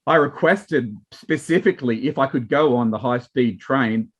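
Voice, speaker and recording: A man's voice: medium pitch, moderate pace. An Australian man, a wine and marketing guest, chatting casually and rambling with many restarts and fillers. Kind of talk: casual panel chat.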